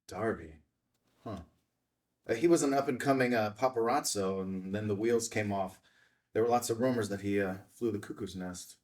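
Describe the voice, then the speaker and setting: Guy playing a dry terse investigator, kinda low voice, bit far from the mic, table read. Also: moderate pace, darkish tone, natural voice. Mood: curious, wry. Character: terse, guarded male investigator with a dry edge. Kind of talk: filmed screenplay table read.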